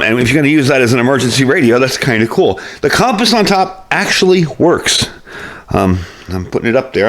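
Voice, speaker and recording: A man hosting a casual product review vlog, explaining things in a conversational, folksy way. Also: medium pitch.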